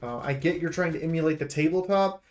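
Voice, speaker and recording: Guy with kinda high voice doing an unscripted game review, blunt, emphatic, griping like he's fed up. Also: fast. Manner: blunt, emphatic, aggrieved complaining. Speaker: video game preview host.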